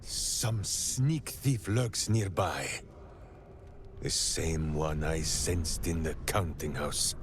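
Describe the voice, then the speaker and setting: A man's voice: a bass voice, very slow, slight background noise, exaggerated voice. A man playing a bombastic, menacing warrior full of wrath in a video game. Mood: suspicious. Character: bellowing, wrathful male warrior, simple and bombastic. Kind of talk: dramatic video game acting.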